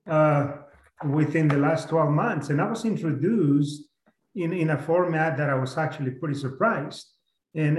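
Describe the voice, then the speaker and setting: Earnest county commissioner in a roomy hall. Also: male voice, a little high, a little mellow. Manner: earnest, halting with many restarts. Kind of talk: public meeting discussion. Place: county meeting room. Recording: roomy meeting room sound.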